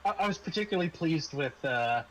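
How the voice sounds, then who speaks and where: A man with a somewhat high voice narrates casually as a game master. He speaks haltingly, often doubling back on his phrases. There is some background noise on the recording. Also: animated, a bit bright, low-quality recording. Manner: halting, trailing repetitions. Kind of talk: wrapping up a tabletop game in casual talk.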